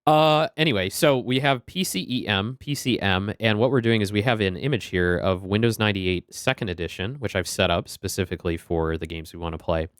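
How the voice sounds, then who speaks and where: A man's voice: fairly low pitch, brisk, few pauses, fairly bright tone, no echo, clean studio sound. A man streaming a retro game, chatting casually and greeting viewers by name.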